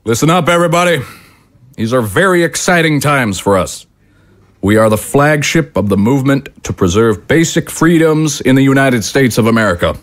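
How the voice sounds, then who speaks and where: An actor playing a fiery, short-tempered political broadcaster and movement leader in an audio drama, speaking emphatically. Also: male voice, mid-range voice, expressive, moderate pace, lightly acted. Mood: rousing, proud. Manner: combative, emphatic, oratorical. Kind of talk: dramatic audio screenplay acting.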